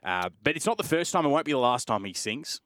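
Australian male producer on air, quick and expressive, crisp diction, casual studio chat. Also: medium pitch, dry recording. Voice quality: crisp pronunciation. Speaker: radio producer and voiceover man. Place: radio studio.

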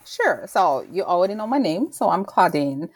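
A woman's voice: fairly low pitch, sing-song, normal speed, no echo. A woman in her thirties from Rwanda, answering interview questions in a reflective way, searching for her words. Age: 30s. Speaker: guest author and lecturer.

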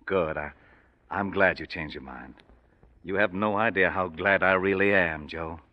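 A man's voice: low voice, unhurried, very noisy. An actor playing an anxious middle-aged father and mine boss, with a hesitant, faltering delivery in 1940s radio style. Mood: relieved. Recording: dull vintage radio recording.

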